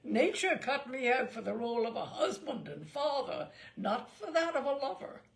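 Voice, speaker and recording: A woman's voice: sits high, sing-song, normal speed, gravelly, heavily acted. A woman voicing a bluff, fat old ship's captain who declaims and cajoles emphatically in a storybook reading. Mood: protesting.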